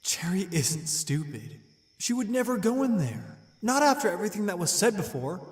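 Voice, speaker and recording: A man's voice: high-pitched, very animated, normal speed, husky, heavily acted. A man voicing an anxious young stallion. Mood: worried. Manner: anxious, earnest, stammering. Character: young stallion, worried protective older brother.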